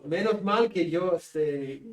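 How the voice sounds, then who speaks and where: A man with a northern Peruvian Spanish accent giving a seminary class lecture, joking with students and pausing on fillers. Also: a little high. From Peru. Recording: echoey classroom from a distance.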